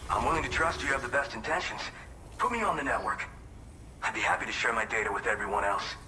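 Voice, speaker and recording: A man's voice: mid-range voice, expressive, fast talker, noisy background, close to an everyday voice. A man acting a folksy, earnest mountain porter in a video game, talking conversationally and with pride. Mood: warm, grateful.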